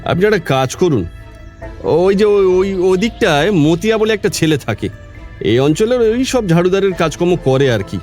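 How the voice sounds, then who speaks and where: Animated, inquisitive police officer. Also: male voice, high-pitched, moderate pace, faint music behind. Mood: suspicious, probing. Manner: probing questions, hesitant repeated phrases. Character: inquisitive local police station officer, suspicious but courteous. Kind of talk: Bengali audio drama acting.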